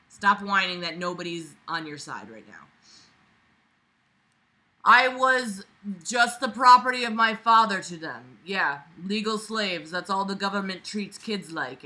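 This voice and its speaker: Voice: mid-range voice, unhurried, sharp tone, low-quality recording. A reader going through a book aloud with sarcastic running commentary, exasperated, blunt and prone to swearing.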